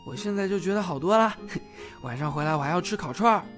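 A man's voice: sits high, lively intonation, fast talker, fairly bright tone, soft background music. An actor playing a young male beat cop who is cheeky, brash and playful in an audio drama. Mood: lazy, affectionate.

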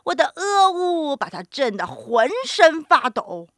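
Woman playing a proud, forceful storybook bear. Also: sits high, big pitch swings, slow, dry recording, clean studio sound, voice pushed up, full character voice. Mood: boastful. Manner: growly, forceful bragging. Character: blustering male bear warrior, combative and proud.

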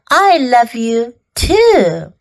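A woman's voice: a little high, huge pitch swings, extremely slow, no echo, exaggerated voice. A woman voicing an affectionate, bossy storybook mother with a motherly, emphatic way of talking. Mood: affectionate, matter-of-fact.

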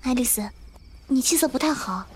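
A woman's voice: high-pitched, expressive, normal speed, husky. A woman voicing a frightened, whiny young survivor who pleads and panics in a zombie audio drama. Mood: pouting, teasing. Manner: pleading, girlish, prone to panic. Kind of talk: fan audio drama acting.

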